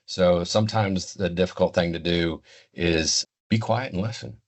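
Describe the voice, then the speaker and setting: Older guy, real deep voice, interview guest, measured and halting, thinking as he explains. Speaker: interview guest, retired law enforcement communications expert. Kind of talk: unscripted interview answers.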